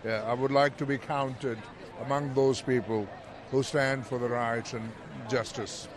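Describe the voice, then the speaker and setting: A man's voice: medium pitch, steady tone, a little slow, crowd noise. A man from Pakistan, speaking emphatically and repeating words for force at an outdoor rally. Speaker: rally attendee.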